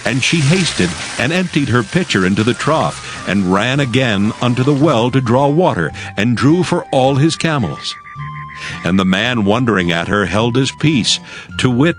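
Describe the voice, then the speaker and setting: Expressive male scripture narrator. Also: mid-range voice, moderate pace, soft background music. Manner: formal storytelling. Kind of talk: scripted scripture narration for a dramatized audio Bible.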